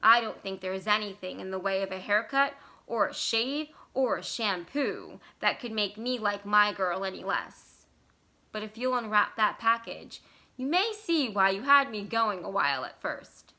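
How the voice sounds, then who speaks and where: A woman reads a fond young husband from a storybook in a bright voice. He is a little dazed, plain-spoken and reassuring. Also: medium pitch, very animated, moderate pace. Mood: affectionate. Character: slow, dazed but affectionate young husband. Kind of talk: dramatized short story reading.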